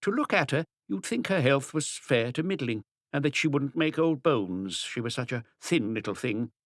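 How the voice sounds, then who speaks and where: A man's voice: medium pitch, lively intonation, normal speed, no echo, clean studio sound, pitched above the performer's own voice, natural voice. A man voicing a chatty elderly bird seller in an audiobook, folksy, gossipy and wistful as he reminisces. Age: older. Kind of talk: audiobook character dialogue.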